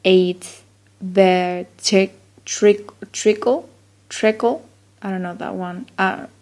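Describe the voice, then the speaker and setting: A woman's voice: mid-range voice, fairly even intonation, slow, darkish tone. A woman from Chile, a native Spanish speaker with a Chilean accent, reads a word list casually and a bit unsure, trailing off with fillers. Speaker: accent-tag vlogger.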